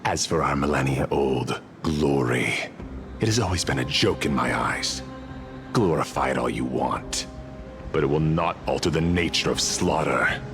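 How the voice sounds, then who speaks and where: A man's voice: extremely low pitch, measured pace, over music. An actor playing a ruthless, grim warrior king in a video game, speaking with grave, solemn authority. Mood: bitter scorn. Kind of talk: dramatic video game acting.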